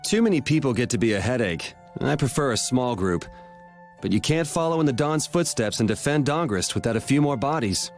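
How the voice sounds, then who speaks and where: A man's voice: mid-range voice, expressive, fairly quick, faint music behind, close to an everyday voice. A man voicing a laid-back, perceptive young hero in a video game, with a casual, wry manner.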